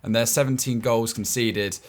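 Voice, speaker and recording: Male host, mid-pitched, casual and stammery, chuckles a lot. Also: fairly even intonation, normal speed. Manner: stammering restarts and chuckling asides. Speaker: football show host. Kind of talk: casual football analysis chat.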